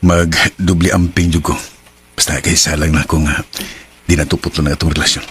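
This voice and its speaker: A man with a Cebuano accent acting a smooth-talking, evasive young cheating boyfriend in a radio drama. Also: very deep voice, little pitch movement, a little fast, slight background noise. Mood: casual, reassuring. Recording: music under the voice.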